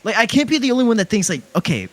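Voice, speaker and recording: A man's voice: high voice, sing-song, racing, a bit bright. A young man in casual conversation, slangy and emphatic in how he makes his points. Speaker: streamer's guest on a call, fellow YouTuber. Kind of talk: casual chat.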